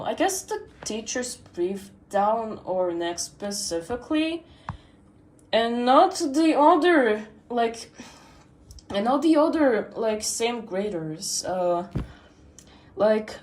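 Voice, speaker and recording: A teenage girl rambling on a livestream, full of pauses, fillers and restarts. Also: medium pitch, a little mellow. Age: teens. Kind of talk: rambling casual stream chat.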